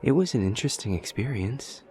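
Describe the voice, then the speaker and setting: A man playing an awkward, earnest, literal-minded demon college student in an audio roleplay, speaking in a stilted, over-precise, deadpan way with hesitant pauses. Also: mid-range voice, close to an everyday voice. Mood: earnest, puzzled. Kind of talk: intimate audio roleplay acting.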